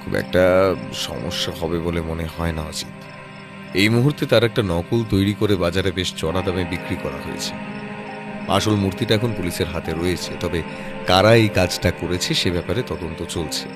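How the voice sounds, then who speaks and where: An actor playing a composed, analytical private detective in an audio drama, reasoning aloud in a measured way. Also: male voice, low voice, music in the background, lightly acted. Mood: thoughtful.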